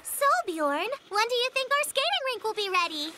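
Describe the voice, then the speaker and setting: A woman voicing a friendly, childlike little animal in an animated cartoon, with an upbeat manner. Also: very high-pitched, moderate pace, very noisy.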